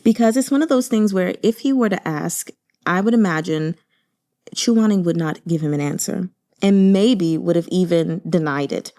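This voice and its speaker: A woman hosting a book channel, giving casual commentary in a gushing, expressive manner. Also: lowish voice, moderate pace. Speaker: host of a book reading channel.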